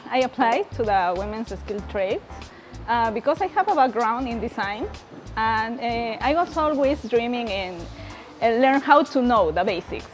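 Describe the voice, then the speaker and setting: A woman from Peru, a native Spanish speaker with a Peruvian Spanish accent, giving a student testimonial and often pausing to search for words. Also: on the high side, expressive, faint music behind. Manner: halting, searching for words in non-native English. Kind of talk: personal testimonial to camera.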